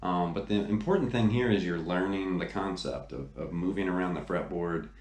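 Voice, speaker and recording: A man's voice: deep voice, moderate pace. A middle-aged man giving a casual guitar lesson in a laid-back, conversational way. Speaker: guitar teacher. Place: small home studio room. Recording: roomy sound with loud guitar. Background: electric guitar playing.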